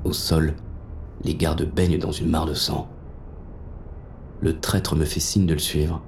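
A man's voice: extremely low pitch, flat delivery, slow pace, a little mellow, a little hiss or hum, full character voice. An actor playing a crude, confused man on the run, narrating in the first person with colloquial dialogue in an audio drama. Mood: tense narration. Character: confused hunted man, crude and lustful, narrating his escape.

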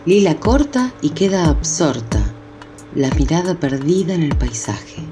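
Low-voiced woman narrating radio drama. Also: sing-song, unhurried. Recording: music behind the voice.

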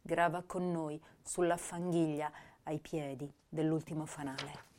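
A woman playing a young, intense, melancholy female poet, reciting literary verse and prose in a measured, solemn way with pauses between phrases. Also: low voice, fairly even intonation, a little mellow, a little hiss or hum. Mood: melancholy, grave. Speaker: actress reciting poetry and prose.

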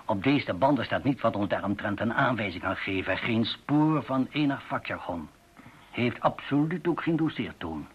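Bedachtzame oudere professor met hese stem. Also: male voice, mid-range voice, animated, husky. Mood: analytical, measured. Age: older. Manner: deliberate, scholarly, formal. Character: older male language professor, measured, analytical, precise. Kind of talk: radio play acting. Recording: dull, muffled radio play recording.

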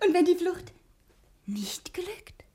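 Radio crime drama: a fretful, devoted wife, high-pitched and soft-edged, impatient and pleading. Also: female voice, very animated, slow, heavily acted. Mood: worried, devoted. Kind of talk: 1960s German radio drama acting.

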